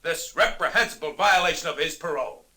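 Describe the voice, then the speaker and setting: An actor playing a forceful, indignant prosecutor in a 1940s radio courtroom drama, declaiming formally. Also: male voice, fairly high pitch, fairly quick, slight background noise.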